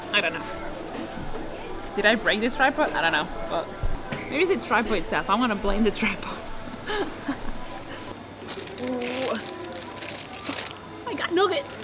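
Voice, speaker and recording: A young woman whose first language is Spanish, chatting casually to camera in a vlog, teasing herself and laughing often. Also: on the high side. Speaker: vlogger.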